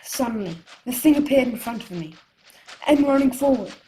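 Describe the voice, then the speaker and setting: A woman playing an excitable boy adventurer, narrating a radio drama with dramatic storytelling. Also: high voice, normal speed, a little hiss or hum, rough recording. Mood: breathless, alarmed. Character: excitable boy adventurer telling his tale.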